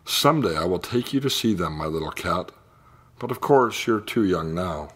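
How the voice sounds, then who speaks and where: A man voicing a gentle, fatherly artist in a children's storybook. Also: sits low, animated, normal speed, breathy edge, full character voice. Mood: tender. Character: gentle, loving artist, owner of a little cat.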